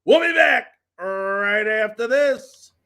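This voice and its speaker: A man with a noticeably high voice hosts a live sports talk show. He delivers his lines emphatically, in the style of an announcer, addressing viewers and guests directly. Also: lively intonation, slow pace, pushed and bright.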